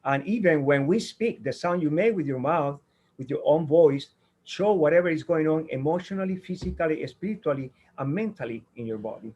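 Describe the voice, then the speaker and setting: Interview guest, male, first speaker, slightly high voice, earnest, rambling. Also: lively intonation, fairly quick, darkish tone. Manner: earnest, rambling with many fillers and restarts. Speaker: guest, sound healer and tenor. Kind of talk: interview answers.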